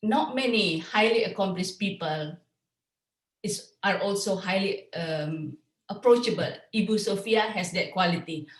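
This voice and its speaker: Woman host, echoey and far off. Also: medium pitch. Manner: friendly, a little halting. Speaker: webinar host. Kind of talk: introducing a speaker. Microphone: video call. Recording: roomy, from far off the mic.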